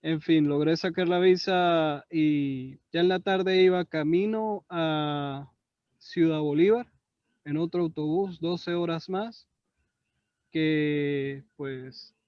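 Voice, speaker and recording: Slow-talking Venezuelan man, dry lo-fi sound. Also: a little high, clear, resonant tone, no echo. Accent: Venezuelan Spanish. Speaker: guest. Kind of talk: storytelling in an interview. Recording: dry, dull lo-fi audio.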